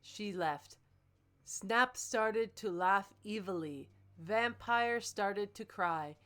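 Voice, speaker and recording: A woman's voice: medium pitch, very slow, fairly bright tone. A woman hosting a comic reading, moving between dramatic narration and casual joking commentary. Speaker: host reading and critiquing fan fiction.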